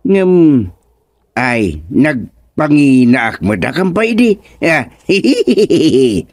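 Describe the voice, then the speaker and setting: A man playing a vain, chuckling old father in an Ilocano radio comedy, with an exclaiming, drawn-out delivery. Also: fairly high pitch, sing-song, darkish tone, no echo, studio quality, exaggerated voice. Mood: boastful.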